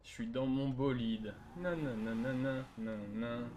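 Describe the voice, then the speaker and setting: A man's voice: medium pitch, steady tone, measured pace, a little mellow. A man streaming a roleplay game, commenting casually with a flippant, grumbling manner. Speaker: gamer streaming trolling roleplay. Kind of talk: casual gaming commentary and voice chat. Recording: faint game noise behind voice.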